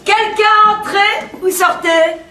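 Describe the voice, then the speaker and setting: A woman's voice: extremely high pitch, steady tone, slow. An actress playing a scatterbrained, chatty woman of the household in a theatrical French comedy, airy and absent-minded. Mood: airy, distracted. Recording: echoey stage, distant mic, faint noise.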